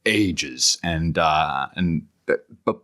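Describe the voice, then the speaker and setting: A middle-aged man, an academic author, explaining conversationally as an interview guest, haltingly repeating words as he thinks aloud. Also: very deep voice, pushed and bright.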